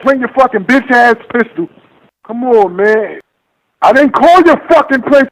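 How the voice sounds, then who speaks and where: Man acting a cocky, high-pitched tough guy. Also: lively intonation, unhurried, background noise, exaggerated voice. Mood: taunting, combative. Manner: taunting, profane, challenging. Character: cocky, combative man bragging of connections. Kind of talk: soundboard clips played into a prank call.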